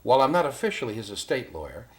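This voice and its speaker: An actor playing a formal, guarded male attorney giving a measured, carefully worded statement in a crime drama. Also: mid-range voice, sing-song, lightly acted. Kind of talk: acted formal statement to investigator.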